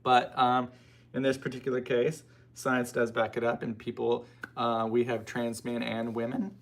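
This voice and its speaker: A man's voice: medium pitch, completely flat delivery, normal speed. An American man hosting a casual livestream, chatting informally and rambling with frequent asides as he responds to viewers. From United States. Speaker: livestream host. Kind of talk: casual live chat, answering and reading out viewer comments.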